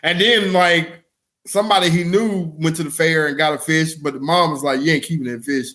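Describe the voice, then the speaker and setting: A man's voice: on the high side, fairly even intonation, fairly quick, pushed and bright. A man co-hosting a comedy podcast, telling everyday stories in slangy, exclamatory casual talk with some swearing. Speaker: podcast co-host. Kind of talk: casual comedic chat and storytelling.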